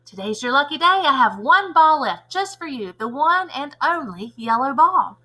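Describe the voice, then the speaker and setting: Storybook schoolyard guy, voiced by a woman: bright, pushy, teasing, lively salesman patter. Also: high voice, normal speed, voice pushed up, heavily acted, low-quality recording. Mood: coaxing. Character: teasing, upbeat male schoolyard ball keeper, pushy salesman manner.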